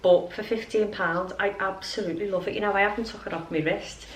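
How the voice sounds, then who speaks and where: A woman's voice: medium pitch, fairly even intonation, a little fast, slight background noise, poor audio. A middle-aged woman chatting informally and ramblingly to camera as a lifestyle vlogger. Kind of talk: casual chatty vlog to camera. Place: at home.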